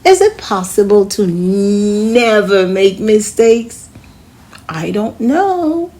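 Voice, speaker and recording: A woman reading a children's story aloud in an expressive way, speaking to her young listeners as a host. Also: mid-range voice, slow. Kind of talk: storybook read-aloud narration.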